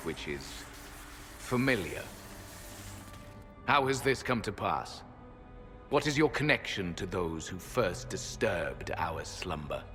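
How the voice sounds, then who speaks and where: Stern ancient elf, ringing reverb. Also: male voice, lowish voice, bright, forceful tone, exaggerated voice. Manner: solemn, formal, deliberate. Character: stern, weary ancient elven sentinel guardian. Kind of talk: dramatic video game dialogue. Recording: long hall reverb, music behind.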